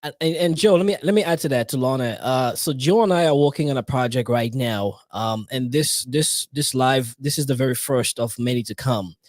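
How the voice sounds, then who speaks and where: A man's voice: medium pitch, normal speed, hardly any pauses, no room sound, clean studio sound. A man hosting a live podcast, talking with frequent restarts and stammers. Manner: many restarts and fillers, stammers. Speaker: podcast host.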